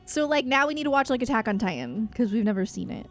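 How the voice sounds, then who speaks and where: High-voiced chatty woman livestreamer. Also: lively intonation, quick pace, soft background music. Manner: rambling, effusive, self-deprecating. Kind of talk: casual chatty livestream, reading and answering chat.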